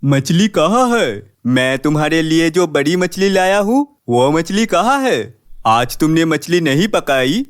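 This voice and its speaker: A man acts an indulgent, gentle husband in a cartoon fairy tale. His voice sits a little high and he speaks slowly and earnestly, always reassuring. The sound is dry and close. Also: very animated, clean tone, no room sound. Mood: questioning. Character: handsome adult husband, doting, indulgent, gentle.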